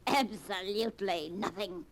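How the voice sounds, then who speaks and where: An actress voicing a cold, cackling old grandmother who mocks her grandson with slow, deliberate menace in a 1940s radio play. Her dry voice sits in a middle register and unhurried rhythm, heard through an old radio recording with faint hiss. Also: medium pitch, natural voice. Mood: sly, mocking. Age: older.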